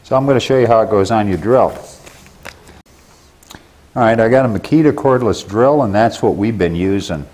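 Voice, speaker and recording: A man's voice: on the low side, normal speed, long pauses, a little mellow. A middle-aged man with an American accent, giving a casual tool demonstration to camera in a plain-spoken, folksy way. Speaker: presenter of how-to videos for a store. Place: plain indoor workshop room. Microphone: lapel mic.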